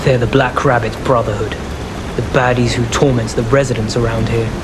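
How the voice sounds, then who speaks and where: Actor as blunt, cocky game sidekick brother: medium pitch, noisy setting. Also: male voice, level tone, a little fast, lightly acted. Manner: frank, cocky. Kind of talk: game character dialogue. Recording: rain-like noise behind.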